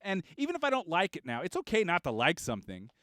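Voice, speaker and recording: A man hosting a casual livestream from his recording studio, talking in a relaxed, rambling way. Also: sits high, quick pace, no echo. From Kansas. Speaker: studio owner and livestream host.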